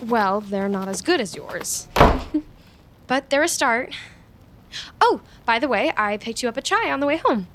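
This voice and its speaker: A woman's voice: on the high side, sing-song, measured pace, long pauses, background noise. An actress playing an eager, awkward, chatty young woman in an audio drama, both confiding narration and dialogue. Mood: nervous, hopeful. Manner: chatty, hesitant, nervously upbeat. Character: eager, awkward, clingy young woman, human best friend of a vampire.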